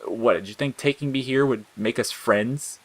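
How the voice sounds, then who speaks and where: A man's voice: medium pitch, normal speed, pitched above the performer's own voice, natural voice. Man as spiteful monster flower, audio drama: sarcastic, bored, snappish, voice lifted above his natural register. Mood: contemptuous, irritated. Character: sneering bitter talking flower, spiteful bored male monster. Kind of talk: audio fiction character acting.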